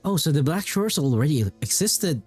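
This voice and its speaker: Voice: medium pitch, animated, normal speed, darkish tone, slightly rough. A game streamer giving casual live gameplay commentary in short, muttered reactions. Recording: voice over game music. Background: game music.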